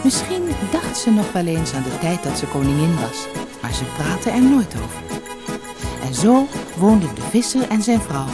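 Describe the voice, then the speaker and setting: A woman's voice: fairly low pitch, sing-song, normal speed, over loud music. A woman reading a scripted fairy tale as an audiobook narrator.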